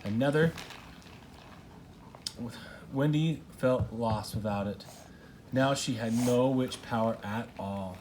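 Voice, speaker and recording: A man's voice: medium pitch, takes it slowly, long pauses. A father reading a storybook aloud in narration, recorded at home. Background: household noise, young child interjecting.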